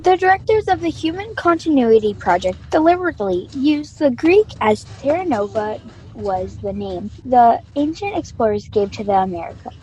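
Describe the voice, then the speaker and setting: A woman's voice: fairly high pitch, sing-song, moderate pace, few pauses, a little mellow, a little hiss or hum, low-quality recording. A woman playing an earnest, studious young female colonist who explains things formally in a student sci-fi radio play.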